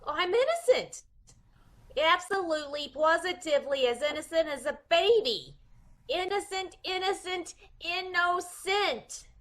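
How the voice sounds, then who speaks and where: Slangy young chorus girl, high voice, slow theatrical delivery, radio mystery comedy. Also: animated, a bit bright, exaggerated voice. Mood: defensive, flippant. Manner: slangy, theatrical, wailing protests. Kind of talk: old-time radio comedy-mystery acting.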